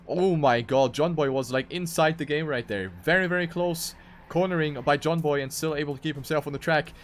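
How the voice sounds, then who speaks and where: A man's voice: on the high side, brisk, slight background noise. A man doing live esports commentary on a racing game, with dramatic, emphatic phrasing.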